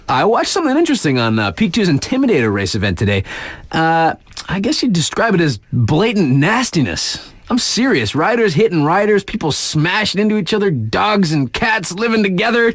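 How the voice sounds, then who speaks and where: Wry, animated snowboard-radio DJ. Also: male voice, fairly high pitch, dry recording, clean studio sound. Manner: wry, slangy, conversational. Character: laid-back, wry male radio DJ at a snowboard resort. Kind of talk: casual in-game radio announcements.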